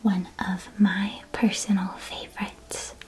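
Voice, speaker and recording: A woman playing a friendly witch shopkeeper in an ASMR roleplay, speaking in a gentle, welcoming, unhurried way. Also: mid-range voice, flat delivery, natural voice, rough recording. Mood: gentle, chatty. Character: friendly witch shopkeeper of a magical sweets shop. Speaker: ASMR performer.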